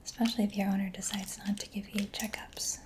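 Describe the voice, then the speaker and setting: A woman speaking in a soft, breathy whisper for an ASMR roleplay, playing a gentle, reassuring mechanic, close to the microphone. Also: medium pitch, level tone, fast talker.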